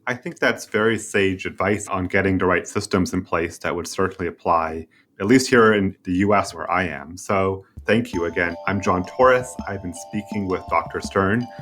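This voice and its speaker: A man with a fairly low voice hosts a podcast interview. He speaks formally as he puts questions and remarks to his guest, with music in the recording. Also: normal speed, a bit bright.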